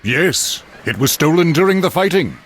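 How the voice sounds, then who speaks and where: An actor playing an insistent, grave religious advisor in a mythological video game, urgent and portentous. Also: male voice, on the high side, big pitch swings, normal speed, slight background noise. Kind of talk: video game cutscene acting.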